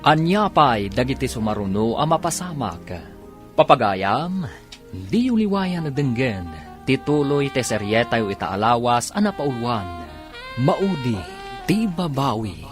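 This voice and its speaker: A man's voice: medium pitch, big pitch swings, unhurried. A man narrating an Ilocano radio drama in a scripted, dramatic announcing style. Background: music bed.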